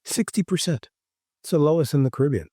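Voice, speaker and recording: A man's voice: fairly high pitch, animated, fast talker, mellow, breathy edge, dry recording, studio quality. A man from Haiti on a roundtable panel, making an earnest case with rhetorical questions. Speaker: physician panelist. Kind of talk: roundtable discussion.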